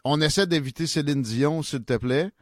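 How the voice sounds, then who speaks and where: A man's voice: fairly high pitch, normal speed, no room sound, clean studio sound. A man with a Quebec French accent hosting an interview in a chatty way, with many fillers. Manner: chatty, with frequent fillers and affirmations. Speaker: podcast host. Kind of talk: casual interview conversation.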